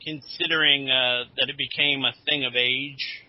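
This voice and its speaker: A man co-hosting a podcast in casual chat, with a halting, hedging delivery. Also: mid-range voice, fairly even intonation. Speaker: podcast co-host. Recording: dull lo-fi podcast audio.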